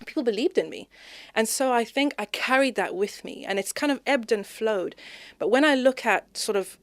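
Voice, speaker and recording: A woman from Zimbabwe, a podcast guest talking expressively in a conversational interview, speeding up and rising in pitch when animated. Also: moderate pace, no room sound.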